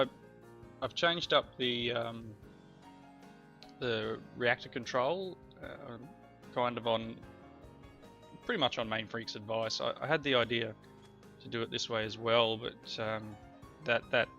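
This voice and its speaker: Man hosting a gameplay video, bright gravelly voice, under the weather with a cold, slightly dull recording with faint music behind. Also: mid-range voice, measured pace, long pauses. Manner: rambling, self-correcting, chatty. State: coming down with a cold. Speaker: let's play host. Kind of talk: casual gameplay commentary. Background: faint background music.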